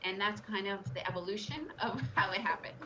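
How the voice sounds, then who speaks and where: A woman's voice: medium pitch, fairly quick, bright, forceful tone, recorded from a distance, a little hiss or hum, poor audio. A middle-aged woman, born in Russia and raised in the US, candidly telling her story as a guest on an interview podcast. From Soviet Union, raised in the US. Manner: earnest, candid, emphatic storytelling. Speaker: guest trader.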